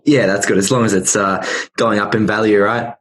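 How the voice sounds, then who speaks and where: A man hosts the podcast, asking rambling questions with plenty of fillers and false starts. His voice sits somewhat low. His delivery is relaxed and conversational. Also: normal speed. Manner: many fillers and repeated starts. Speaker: podcast host. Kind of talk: casual interview questions.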